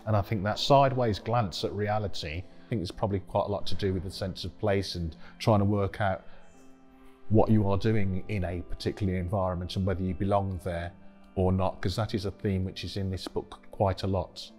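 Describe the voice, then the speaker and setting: A middle-aged man giving thoughtful, measured commentary in a documentary interview in a gallery room. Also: sits low, fairly even intonation, a little mellow, faint music behind.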